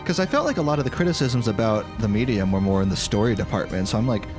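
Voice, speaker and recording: Man, podcast co-host, very animated intonation, casual reaction chat, clear background music. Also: on the low side, fast. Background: showcase trailer audio and music.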